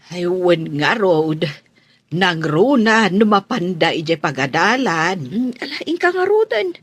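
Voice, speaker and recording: A woman's voice: mid-range voice, a bit bright, slightly rough, dry recording, exaggerated voice. A woman with a Filipino accent acting a friendly, sympathetic, chatty village woman in a radio drama.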